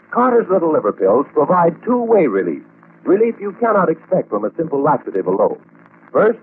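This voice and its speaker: A man's voice: a little high, narrow, tinny audio. A male announcer reading a 1940s radio advertisement in a polished, persuasive, emphatic manner. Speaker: commercial announcer. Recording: thin, noisy vintage radio recording.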